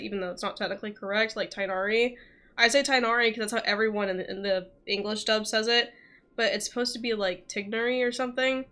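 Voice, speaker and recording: A woman's voice: medium pitch, sharp tone. A young woman chatting casually and in a rambling way while she streams a video game, often giggly. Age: young adult.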